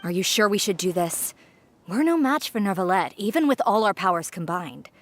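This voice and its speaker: Uneasy game accomplice: animated, hesitant, worried, doubting. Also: female voice, medium pitch, normal speed. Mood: anxious, doubtful. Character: nervous, doubtful female accomplice to a plot. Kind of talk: video game character acting.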